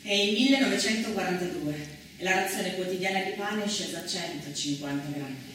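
A woman's voice: fairly low pitch, brisk, lightly acted. A woman performing as an earnest chronicler of women's wartime history, delivering scripted theatrical narration emphatically from a stage, heard at a distance. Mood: grave, factual. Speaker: stage actress in a two-woman theatre play. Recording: very echoey stage, distant, noisy.

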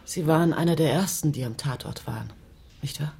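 A woman's voice: low voice, fairly quick, creaky, darkish tone. An actress playing an inquisitive, self-assured woman journalist from Paris in a radio crime drama. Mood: cool, precise.

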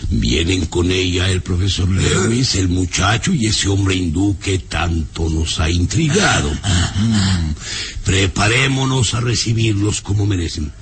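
A man's voice: low-pitched, animated, a little slow, a little hiss or hum. A man playing an imperious, scornful baron and father in a theatrical, haughty, commanding radio serial style. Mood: gratified, expectant. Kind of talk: old radio serial acting.

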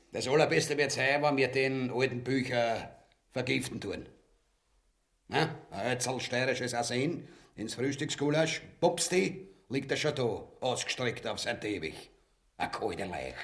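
A man's voice: medium pitch, a bit bright. A male actor playing a crude, scheming usurper in a farcical radio play, with an Austrian accent and a blustering, colloquial delivery.

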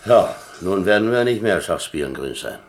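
Casting: wry ex-convict adventurer, radio play, low voice, colloquial, dry, musing delivery. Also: male voice, very animated, moderate pace, slight background noise. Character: laconic, wry German ex-prisoner and adventurer telling his story. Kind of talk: radio drama acting and first-person narration.

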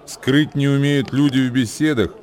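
An actor in a radio play voicing a grave male wanderer who recites ancient wisdom verse in a deliberate, declamatory way. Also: mid-range voice, steady tone, a little mellow, lightly acted. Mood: grave, reflective. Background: music.

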